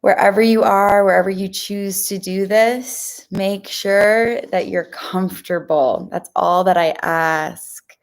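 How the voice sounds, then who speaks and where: A woman leading a guided mindfulness meditation, speaking to listeners in a gentle, reassuring, informal way. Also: medium pitch, steady tone, takes it slowly.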